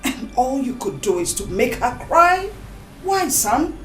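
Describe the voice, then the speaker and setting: A middle-aged mother, played by a woman, coaxing and insistent, her voice rising and falling a great deal. The room sounds echoey, with clear music playing behind her. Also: high-pitched, big pitch swings, a little slow. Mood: scolding. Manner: soothing yet forceful, insistent. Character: reassuring mother pushing her son to marry.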